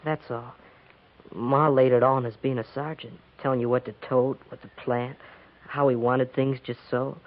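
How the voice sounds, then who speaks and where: An actor playing an earnest, pleading young frontier farm boy with a rural American accent and a halting, folksy manner in a 1950s radio western. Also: medium pitch, little pitch movement, lightly acted. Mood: shy, talkative. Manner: halting, folksy, stammering when pressed. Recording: dull 1950s radio recording.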